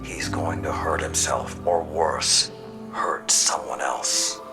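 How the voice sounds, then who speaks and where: An actor playing a stern, battle-hardened older warlord in a video game cutscene, with a gravelly voice and a slow, grave, deliberate delivery. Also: male voice, rumbling low, fairly even intonation, in a whisper, exaggerated voice. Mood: tense, dismissive. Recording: loud music under the voice. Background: loud music.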